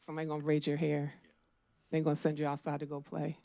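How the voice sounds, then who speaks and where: A woman's voice: low voice, completely flat delivery, fast, gravelly, dark tone. A woman in her forties on a panel, earnest and exhorting the audience directly. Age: 40s. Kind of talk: panel talk.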